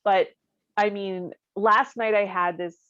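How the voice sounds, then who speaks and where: A woman's voice: mid-range voice, fairly even intonation, takes it slowly, a bit bright, dry recording. A woman co-hosting a podcast, chatting casually in a musing, giggly way. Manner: giggly, laughs often while talking. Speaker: podcast co-host. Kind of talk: casual chat. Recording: dull, lo-fi, dry audio.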